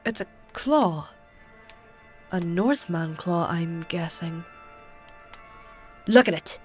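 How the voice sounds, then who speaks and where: Brisk, low-voiced healer. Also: female voice, a little mellow, faint music behind. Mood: probing, persuasive. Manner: matter-of-fact, insistent, formal wording. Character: brisk, clever, defiant female healer in dark fantasy. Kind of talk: audio drama acting.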